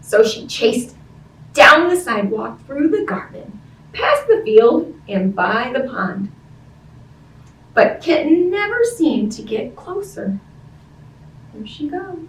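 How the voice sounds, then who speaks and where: Animated woman reading slowly in a roomy space. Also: high-pitched. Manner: expressive, simple child-directed speech with questions to listeners. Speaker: librarian hosting children's story time. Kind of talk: animated picture-book read-aloud and chat to young children. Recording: roomy, distant-sounding, faint background noise.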